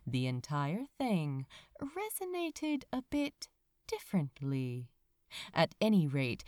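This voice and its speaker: Woman narrating fiction with very animated pitch. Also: no room sound, studio quality. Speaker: fan fiction audiobook reader. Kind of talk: expressive scripted narration of fantasy fiction.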